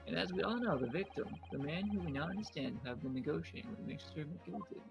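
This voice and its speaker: A man's voice: on the high side, lively intonation, fast, creaky, dull audio, music in the background. A man voicing an earnest defense lawyer who makes formal, declamatory accusations in a courtroom game fan dub. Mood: firm, formal.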